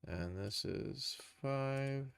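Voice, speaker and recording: A man's voice: mid-range voice, very slow, creaky, clean tone, no echo. A man streaming a casual tutorial, thinking aloud informally as he works. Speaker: streamer, game developer and animator. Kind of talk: casual live screen-share tutorial.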